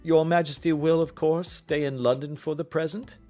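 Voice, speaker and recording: A man voicing a cool, unruffled gentleman detective with a polite, airy, precise manner, in an audiobook story. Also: on the high side, steady tone, normal speed, faint music behind, pitched above the performer's own voice, natural voice.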